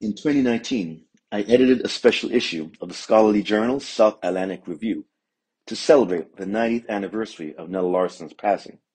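A man's voice: lowish voice, brisk, a little mellow, husky, faint music behind, rough recording. A man giving prepared, explanatory remarks as a guest academic. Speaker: guest scholar, literature professor.

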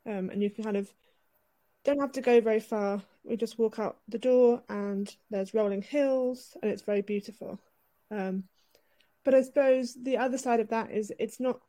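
A British woman, a writer and editor, speaking softly and hesitantly as a guest in a casual interview over a video call. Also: on the high side. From United Kingdom. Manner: soft-spoken, hesitant with many ums.

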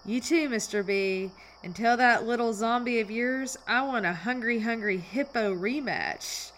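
A woman's voice: on the high side, measured pace, fairly bright tone, a little hiss or hum, poor audio. A woman acting a cheeky young small-town waitress who loves Halloween in an audio drama. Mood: friendly, teasing.

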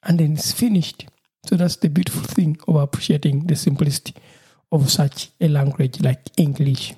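A man's voice: a little high, steady tone, normal speed, mellow, breathy edge, poor audio. A Ugandan man whose first language is Lusoga hosts his own podcast, talking cheerfully and laughing often as he repeats himself. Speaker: podcast host. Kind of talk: solo podcast monologue.

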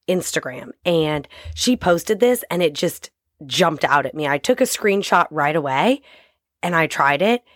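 A woman in her thirties with a low, raspy voice, hoarse from a cold as she hosts a short podcast. She speaks directly and encouragingly to her listeners. The sound is dry and close, with no room around it. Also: no echo. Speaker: podcast host. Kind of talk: short motivational podcast talk.

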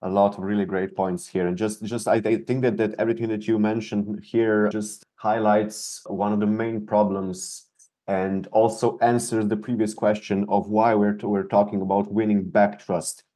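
A man hosting an interview podcast, talking in a halting way with frequent stumbles and restarted words. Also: on the low side, flat delivery, warm tone. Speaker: podcast host. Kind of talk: conversational interview.

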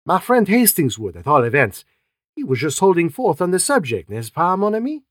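A man voicing a fussy, vain middle-aged male detective, precise and fastidious. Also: high-pitched, wildly swooping pitch, dry recording, studio quality, voice pushed up, exaggerated voice. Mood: brisk.

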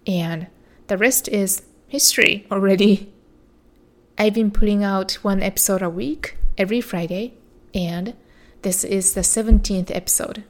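Solo podcast host, woman, soothing and measured, slow unhurried monologue. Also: medium pitch, fairly even intonation.

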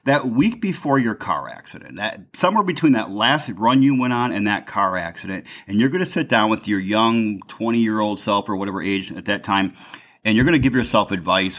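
A middle-aged man hosting a podcast, with a fairly loud voice, rambling and restarting his sentences. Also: on the low side, animated, brisk. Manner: rambling, restarts often, chuckles. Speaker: podcast host. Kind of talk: casual interview talk.

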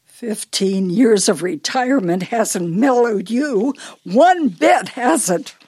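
An actress playing a forgetful elderly wife in a radio play, teasing and fretful with a deliberate, wistful manner. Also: female voice, on the high side, expressive, a little mellow, husky, heavily acted. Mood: chiding, muddled.

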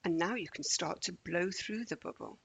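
A woman leading a children's guided relaxation in a gentle, soothing, measured manner with deliberate pauses. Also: on the low side, gravelly, breathy edge. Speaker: meditation guide.

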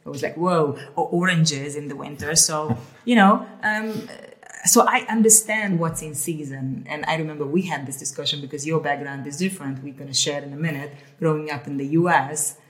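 Low-voiced chatty woman from Hungary. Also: normal speed. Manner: chatty, repeats words while thinking aloud. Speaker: co-host. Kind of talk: casual conversational talk.

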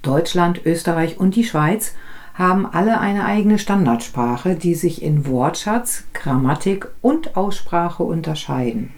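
A woman from northern Germany co-hosting a language podcast, telling stories from home calmly with small restarts. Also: on the low side, unhurried. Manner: calm, reflective storytelling with small restarts. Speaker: podcast co-host, business German teacher. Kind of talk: conversational podcast chat.

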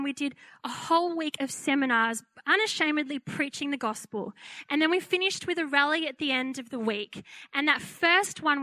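A woman with a fairly high-pitched voice telling a lively personal testimony as a preacher, acting out the voices she quotes. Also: no echo. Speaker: pastor. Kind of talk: testimony sermon.

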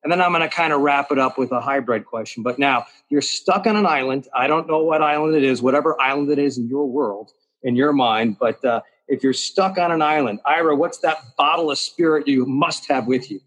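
Relaxed man hosting a panel. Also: mid-range voice, a little fast, poor audio. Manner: relaxed, many "uh" and "you know" fillers. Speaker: host.

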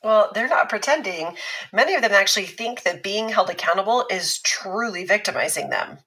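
Woman acting a calm expert, bright tone, explanatory, dry humour, middle pitch. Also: poor audio. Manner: measured, explanatory, lightly teasing. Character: calm, analytical woman expert, wry teaser. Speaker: expert on abuse recovery. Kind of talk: scripted podcast drama dialogue.

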